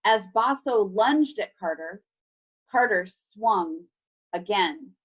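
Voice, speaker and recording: A woman's voice: medium pitch, lively intonation, slow, husky, low-quality recording. A woman teacher reading a story aloud to children and explaining things simply. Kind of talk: expressive storybook read-aloud with chat to children. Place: online class video call.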